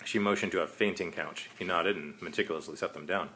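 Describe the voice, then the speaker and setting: A man's voice: deep voice, quick pace, bright, forceful tone, a little hiss or hum. A man reading his own short story aloud as narrator, in a wry, conversational manner.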